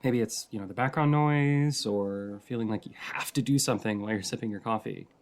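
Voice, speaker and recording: A man's voice: fairly low pitch, brisk, natural voice. Man acting a shy, rambly coffee-shop regular. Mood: nervous, earnest. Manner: hesitant, rambling, softly flirty. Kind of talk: intimate audio roleplay, conversational.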